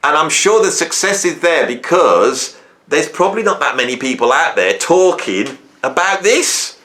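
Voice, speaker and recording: A man's voice: fairly high pitch, big pitch swings, moderate pace, bright, forceful tone. A man in his fifties hosting a music channel, talking to camera in a rambling, self-questioning way and often tagging his points with "right?". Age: 50s. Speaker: YouTube music channel host. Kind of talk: reflective solo talk to camera.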